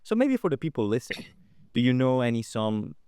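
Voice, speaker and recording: A man hosting a podcast, speaking in a relaxed, soft-spoken conversational way. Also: medium pitch, a little fast, clear, resonant tone, no room sound, clean studio sound. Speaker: podcast host. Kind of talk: casual interview talk.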